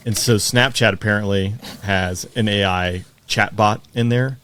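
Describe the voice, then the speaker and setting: A man's voice: on the low side, fairly even intonation, measured pace, a bit bright, a little hiss or hum. A man co-hosting a podcast, talking in a casual, conversational way. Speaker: podcast co-host, pilot. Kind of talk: casual chat.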